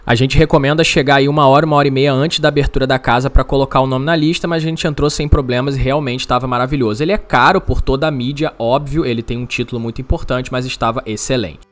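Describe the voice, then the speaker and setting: A man's voice: medium pitch, fairly even intonation, fast talker, hardly any pauses. A man narrating a travel vlog, giving casual, upbeat restaurant recommendations. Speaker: travel vlogger.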